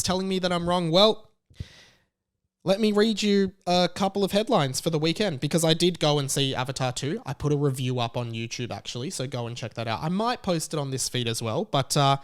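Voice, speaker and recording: A man hosting a solo podcast, giving conversational commentary in an emphatic, self-assured, opinionated way. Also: high-pitched. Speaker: podcast host.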